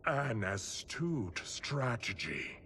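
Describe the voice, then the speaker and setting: An actor voicing a grim, calculating, haughty sorcerer in a video game, with stately, formal diction. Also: male voice, medium pitch, sing-song, extremely slow, pushed and bright, slight background noise, exaggerated voice. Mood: haughty approval. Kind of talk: dramatic video game voice acting.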